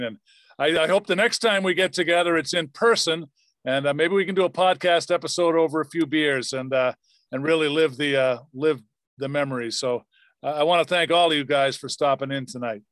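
A man's voice: medium pitch, fairly bright tone, no room sound. A man hosting a podcast, talking casually and thanking his guests appreciatively. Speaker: podcast host. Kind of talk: casual interview sign-off.

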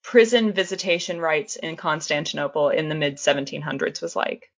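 A woman's voice: low-pitched, brisk, dull audio. A woman co-hosting a casual podcast, reacting with laughs, casual swearing and eager exclamations. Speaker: podcast co-host.

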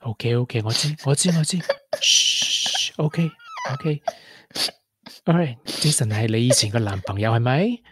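Guy playing a sweet playful young man in an audio drama, hong kong cantonese, coaxing, mid-pitched. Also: huge pitch swings, unhurried, background noise. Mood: worried, soothing. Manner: coaxing, colloquial, with English interjections. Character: caring, playful, persistent young man wooing a grieving colleague. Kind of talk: radio drama acting.

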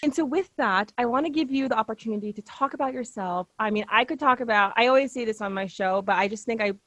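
A young woman hosts a casual podcast in a fairly high voice recorded close and dry. She talks in a bubbly, playful way and slips in slangy asides. She sounds relaxed and friendly with her guest. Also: quick pace, no room sound. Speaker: podcast host.

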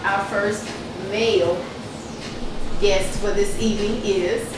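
Woman host, unhurried speech, playful and affirming, echoey room, noisy, away from the mic. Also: medium pitch, fairly even intonation, distant mic. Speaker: podcast host and company founder. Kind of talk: hosting a panel podcast.

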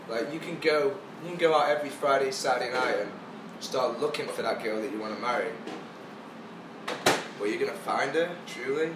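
A young man in his twenties chatting casually and easily, often ending lines with "man". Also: mid-range voice. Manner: laid-back, says "man" a lot. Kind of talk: casual chat. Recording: roomy, distant mic with background noise.

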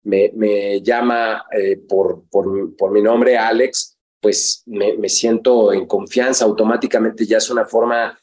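Male coach guest; measured, reflective delivery full of fillers. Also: fairly low pitch, fairly even intonation, a little mellow. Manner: reflective, with frequent fillers and restarts. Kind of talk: conversational interview.

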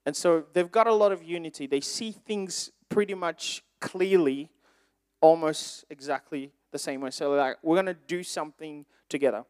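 A man with a fairly high voice speaks as an informal youth preacher, with Swahili and French as his first languages. He tells stories in an animated, conversational way and puts questions to his listeners. The sound is dry and close. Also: normal speed, smooth, no room sound. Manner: conversational, animated storytelling, asks the audience questions. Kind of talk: informal sermon with personal anecdotes.

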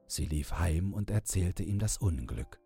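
A man reading a fairy tale as an audiobook narrator, in expressive scripted storytelling. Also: low voice, measured pace, vocal fry, breathy edge, dry recording. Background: music under the narration.

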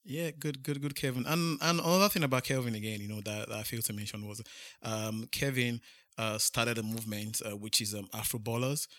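A man's voice: mid-range voice, fairly even intonation, brisk, fairly bright tone, no echo, clean studio sound. A man hosting a podcast interview who talks freely and leans heavily on "you know" and repeated restarts. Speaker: podcast host.

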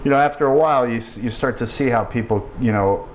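A man's voice: mid-range voice, slight background noise, rough recording. A man from Pennsylvania, a podcast guest, telling his story casually with many halts and restarts. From Pennsylvania, United States. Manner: halting, many restarts and fillers, swears casually. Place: indoors in Bangkok.

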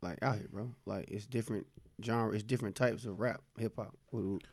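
A man's voice: on the low side, fairly even intonation, fairly quick, creaky, a little mellow, husky, no echo. A man in his twenties, co-hosting a casual group chat and insisting on finishing his point. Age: 20s. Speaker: podcast co-host.

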